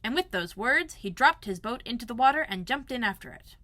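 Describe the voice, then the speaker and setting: A woman narrating a children's storybook aloud in an expressive style, like a school librarian. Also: a little high, brisk, no echo.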